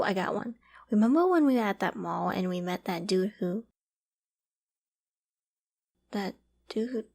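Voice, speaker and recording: A woman acting a clingy, secretly obsessive young apocalypse survivor in a dramatic ASMR audio roleplay, with an emotional, halting, stammering delivery. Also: mid-range voice, creaky, a little mellow. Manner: emotional, halting and stammering, often dropping to whispers and sighs.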